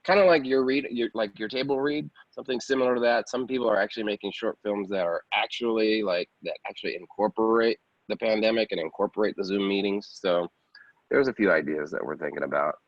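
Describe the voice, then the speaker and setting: A man's voice: on the low side, fast. A man giving a casual interview as an independent filmmaker, rambling conversationally and often repeating phrases. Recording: dull, roomy lo-fi sound.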